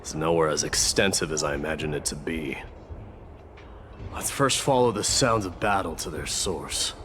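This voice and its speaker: Deep-voiced stern warrior prince. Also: male voice, fairly bright tone, slight background noise. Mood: grave, thoughtful. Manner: measured, grave, guarded. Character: proud exiled warrior prince, stern and battle-hardened. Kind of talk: video game voice acting.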